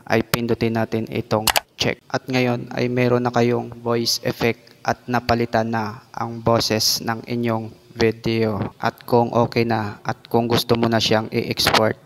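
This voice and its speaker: A man with a Filipino accent giving a polite, step-by-step app tutorial voice-over as the host. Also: mid-range voice. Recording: noisy recording with clicks.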